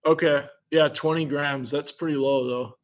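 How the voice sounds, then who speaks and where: A man's voice: a little high, steady tone, normal speed. A man in casual conversation who thinks aloud, asks curious questions and chuckles between remarks. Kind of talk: casual chat.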